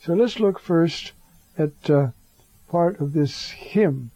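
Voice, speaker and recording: A hoarse man giving a slow, reflective homily as a priest, halting often with fillers. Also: on the high side, expressive, warm tone, dry recording, poor audio.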